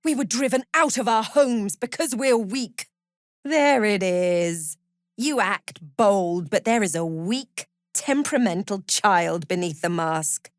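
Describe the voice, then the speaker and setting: A woman playing a cruel, zealous cult prison warden in a fantasy video game, haughty and sardonic, with measured, threatening delivery. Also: medium pitch, animated, no echo, studio quality. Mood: cold fervour. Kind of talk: video game character voice acting.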